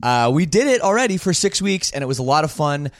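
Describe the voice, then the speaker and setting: A man's voice: fairly high pitch, big pitch swings, fast talker, no echo, clean studio sound. Upbeat man hosting a podcast. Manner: upbeat, rambling, restarts a lot. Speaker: podcast host. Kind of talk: casual podcast chat.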